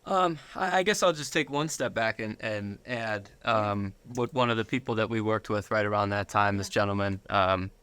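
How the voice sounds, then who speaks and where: A man speaking as an interview guest, conversational and halting, often repeating words as he searches for phrasing. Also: lowish voice, a bit bright, smooth, dry recording. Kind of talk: conversational personal testimony.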